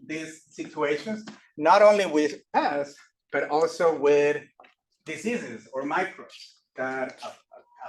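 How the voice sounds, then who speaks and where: A man from Costa Rica giving a classroom lecture as a professor, halting with many fillers and restarts. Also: on the high side, a little hiss or hum. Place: lecture room. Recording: echoey room from far off.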